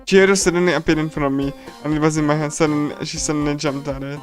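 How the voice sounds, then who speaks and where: Higher-pitched man, halting livestream reader. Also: flat delivery. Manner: halting, repeats and stumbles while reading. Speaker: streamer. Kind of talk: casual game livestream commentary and reading aloud. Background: game music.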